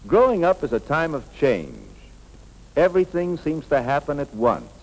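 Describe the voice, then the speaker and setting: A man's voice: on the high side, very animated, moderate pace. A man playing a patient, plain-spoken middle-aged school coach in a 1950s educational film, explaining things earnestly. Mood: reflective narration. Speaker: coach and narrator. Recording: echoey, noisy 1950s film soundtrack.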